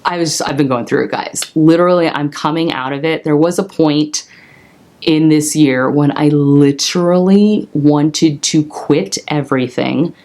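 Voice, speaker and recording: A young adult woman with a commanding voice, telling her personal story to the camera in a candid, expressive way. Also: unhurried. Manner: expressive and candid, with lively jumps in pitch. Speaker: vlogger.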